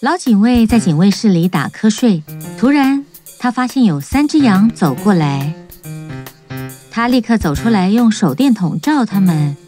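A woman's voice: mid-range voice, expressive, a little slow, warm tone, soft background music. A woman storyteller giving scripted narration for a children's tale.

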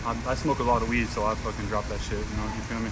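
A man's voice: on the low side, little pitch movement, very fast, noisy background, natural voice. Big Red, a man playing a brash campus gangster. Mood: cocky. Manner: cocky, boastful street slang. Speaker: prank host. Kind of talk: hidden-camera prank character. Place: outdoors on campus and in a gym.

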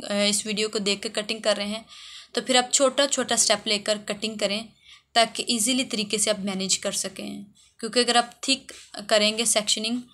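A woman's voice: a little high, pushed and bright. A woman hairdresser narrating a how-to video, explaining step by step and often repeating herself. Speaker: hairdresser giving a tutorial. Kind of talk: instructional voice-over for a how-to video.

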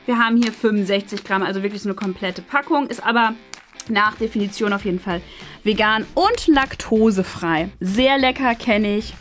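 A woman's voice: medium pitch, moderate pace, soft background music. A woman in her thirties chatting casually and enthusiastically to viewers as she hosts an unboxing. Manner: gushing, enthusiastic. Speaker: host unboxing an advent calendar. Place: small furnished indoor studio.

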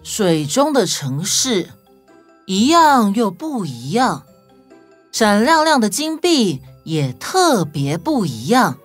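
A woman's voice: mid-range voice, big pitch swings, takes it slowly. A woman playing a confident, reassuring young detective hero in a children's audio story. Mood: focused, reassuring. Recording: music bed behind voice.

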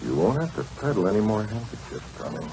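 Casting: masked crime-fighter, low voice, widely swinging delivery, terse and commanding, old radio thriller. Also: male voice, very animated, quick pace, warm tone. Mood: quiet, reassuring. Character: masked male vigilante posing as a racketeer, commanding. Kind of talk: 1940s radio drama acting. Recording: noisy vintage radio recording.

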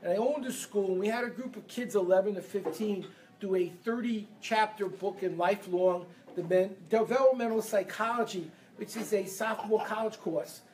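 A man from Brooklyn, giving a lively motivational seminar talk and getting the audience to answer back. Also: high-pitched, normal speed, a little hiss or hum, low-quality recording. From Brooklyn, New York. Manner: energetic, leads audience call-and-response. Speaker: seminar speaker. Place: event room with a live audience.

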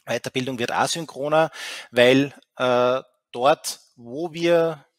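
A man's voice: mid-range voice, takes it slowly, dry recording. A middle-aged man giving a keynote lecture over a video call, talking with many fillers and restarts. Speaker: keynote speaker. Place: office, indoors. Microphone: headset, video call.

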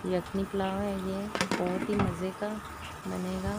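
Flat-voiced woman cooking at home. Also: medium pitch, a little slow. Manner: steady, explains each step, often adds a checking "theek hai". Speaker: cooking channel host. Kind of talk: casual cooking demonstration. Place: home kitchen, over a stove. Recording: loud kitchen noise behind, sizzling frying.

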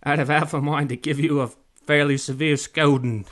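A man's voice: a little high, steady tone, normal speed, fairly bright tone, close to an everyday voice. A man voicing an indignant, dim-witted, angry male pirate in a comedic story reading. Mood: blustering. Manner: indignant, exclaiming.

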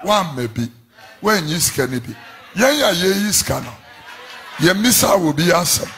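A middle-aged man with a Ghanaian accent, a pastor preaching a fiery sermon into a handheld mic in a large church hall, shouting in sing-song refrains. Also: high voice, sing-song, unhurried, slight background noise.

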